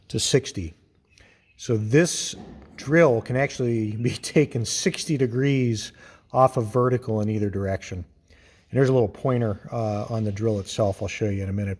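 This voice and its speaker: A man giving an informal, hands-on explanation of machinery in a halting, methodical way, as host of a workshop video. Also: mid-range voice, a little mellow. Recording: reverberant workshop with clear background noise.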